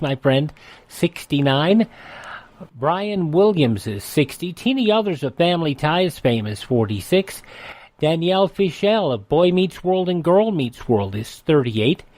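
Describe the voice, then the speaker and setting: An American man presents a radio history almanac in a fairly high-pitched voice. He narrates from a script and adds his own short asides. The sound is dry and close, with little room around it. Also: big pitch swings, unhurried, a little mellow, dry recording. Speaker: radio host.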